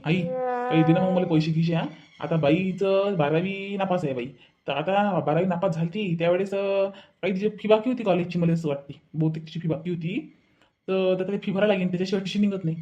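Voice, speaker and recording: A man's voice: high voice, fairly even intonation, fairly quick, warm tone, lo-fi sound, a little hiss or hum, close to an everyday voice. A man voicing an indignant, grumbling village family man in a comedy cartoon, with a Varhadi Marathi accent, repeating his phrases. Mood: wheedling.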